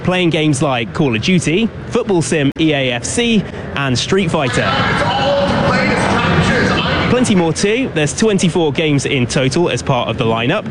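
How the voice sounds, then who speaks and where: A man reporting a news story in a brisk, upbeat delivery. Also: a little high. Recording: street noise behind.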